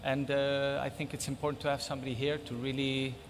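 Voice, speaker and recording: A middle-aged man whose first language is Spanish, giving thoughtful, measured interview answers and repeating points for emphasis. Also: medium pitch, little pitch movement, big-room sound, slight background noise. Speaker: interviewee, football technical advisor.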